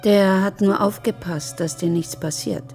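A woman playing a calm, controlling, quietly menacing captor in a German radio drama, measured and unyielding. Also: mid-range voice, faint music behind, close to an everyday voice. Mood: calm, evasive.

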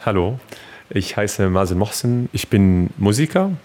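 A man from Syria, an oud player and singer, talking in a friendly, relaxed way in an interview. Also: lowish voice, a little slow, soft background music. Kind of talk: interview answers.

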